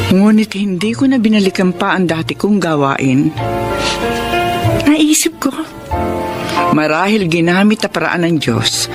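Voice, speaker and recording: Slow-spoken, repentant older mother. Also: lowish voice. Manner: slow, emotional delivery. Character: repentant mother and former folk healer recovering from stroke. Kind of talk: radio drama acting and narration. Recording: music behind the voice.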